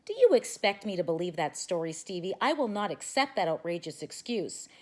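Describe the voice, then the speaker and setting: A woman's voice: fairly low pitch, big pitch swings, a little fast. A woman voicing a strict female schoolteacher who scolds firmly. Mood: stern. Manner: firm, scolding.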